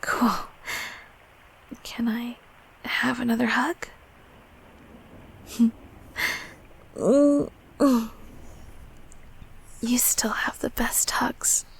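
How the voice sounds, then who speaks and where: A woman playing a gentle, affectionate closet monster in an intimate comfort roleplay, speaking softly and hesitantly in a whispery, reassuring way. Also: a little high, fairly even intonation, exaggerated voice. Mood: warm, reassuring. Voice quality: soft, breathy, whispery. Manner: slow, hesitant, tenderly reassuring.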